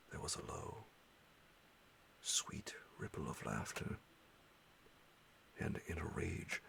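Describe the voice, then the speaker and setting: A man reading a horror bedtime story in ASMR style, hushed and half-whispered, breathy and gravelly, with dramatic pauses. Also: a bass voice, slight background noise. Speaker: audiobook narrator.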